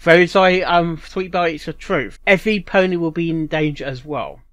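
A man hosting a reaction video in casual, rambling commentary, haltingly repeating and restarting his phrases. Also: on the high side, fairly bright tone, no echo. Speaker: reaction channel host.

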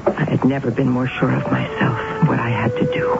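An actress playing an intense, eerily certain young woman with visions, in a solemn, deliberate 1970s radio drama style. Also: extremely low pitch, level tone, gravelly, loud music behind the voice, heavily acted. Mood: resolute. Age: 20s. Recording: muffled, very noisy old radio broadcast.

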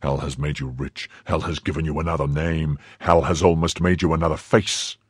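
A man voicing a stern, brooding nobleman who speaks slowly, lowly and menacingly in a quiet, husky voice. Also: flat delivery, no room sound, studio quality. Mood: coldly menacing. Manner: slow, low and menacing, quietly bitter. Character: stern brooding male nobleman, cold and grave.

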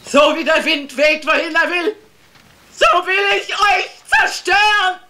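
A woman's voice: very high voice, a little slow, very noisy. A woman playing a deranged, fanatically pious housemaid in a radio crime drama, preaching and declaiming menacingly.

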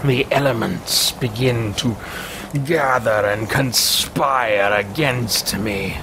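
A man's voice: mid-range voice, slow pace, noisy background, voice pushed down. A man in his thirties voicing an arrogant, self-absorbed mage adventurer in a pompous, grandiose way, recorded at a desk at home. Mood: haughty. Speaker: let's play host roleplaying a character. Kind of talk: in-character roleplay over a video game. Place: room at home, indoors.

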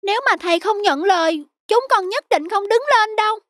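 A woman's voice: very high voice, brisk, music in the background. A woman voicing a small, reverent young animal pupil in a children's cartoon, pleading and eager. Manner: pleading, deferential.

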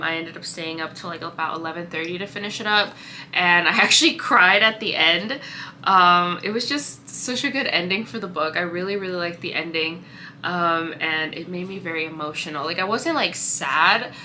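A young woman in her twenties talks to her camera from a room lined with bookshelves, her voice a little stuffy from allergies. She sounds bright and keen, a touch low for a woman, and pads her sentences with "like" and "um". A faint hiss of room noise sits under the voice. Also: normal speed. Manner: chatty, filler-heavy with frequent "like" and "um". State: congested from allergies. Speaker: book vlogger. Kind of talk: casual reading vlog chat. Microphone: fixed camera at desk distance.

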